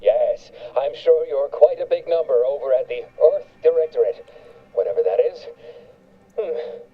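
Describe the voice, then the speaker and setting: A man's voice: mid-range voice, animated, unhurried, highs cut off. An actor playing a haughty, condescending male gatekeeper who talks down to visitors in a game. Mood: sneering. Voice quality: prim, sarcastic, talks down. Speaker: gatekeeper on a comm line.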